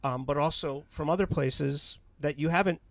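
A man's voice: fairly high pitch, normal speed, dry recording. A man interviewing a chef onstage, asking long, winding questions with many restarts.

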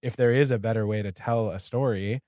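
A man with a fairly low voice hosts a casual interview. He sounds dry and close, and works through his thoughts haltingly, often repeating words. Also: fairly even intonation, darkish tone, no echo. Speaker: podcast host, interviewer.

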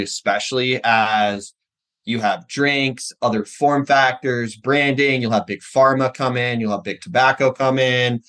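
A man's voice: mid-range voice, fairly bright tone. A man speaking casually as a podcast co-host, often checking in with a questioning tag as he discusses.

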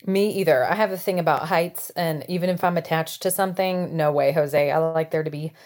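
Low-voiced American woman podcaster. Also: brisk, darkish tone. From United States. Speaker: podcast co-host. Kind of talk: casual chat.

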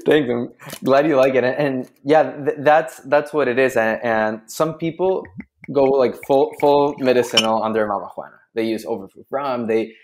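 A man's voice: mid-range voice, normal speed, a little hiss or hum. A man from Chile, a guest on a podcast, telling a story in casual chat and laughing often. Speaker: guest, drinks brand founder.

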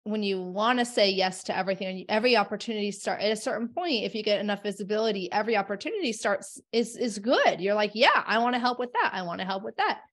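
A woman's voice: medium pitch, expressive, fast, few pauses, a bit bright. A woman hosting an interview podcast, chatty and eager, with many short agreeing replies. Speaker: podcast host, arthritis advocate. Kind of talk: conversational interview.

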